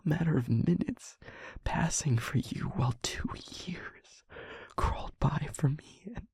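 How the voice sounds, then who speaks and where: A man playing an anguished, trapped demon confessing to a loved one in an intimate audio roleplay, speaking haltingly in a breathy, gravelly half-whisper. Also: on the high side, a little mellow, dry recording, exaggerated voice. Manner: halting, slow, emotionally weighted delivery.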